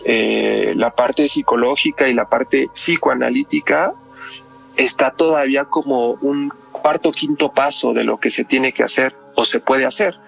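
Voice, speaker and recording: A man's voice: medium pitch, a little slow, gravelly, fairly bright tone, faint music behind. A Mexican man explaining things conversationally as a psychologist guest on a podcast, often ending phrases with a tag question. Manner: constantly checks with tag questions.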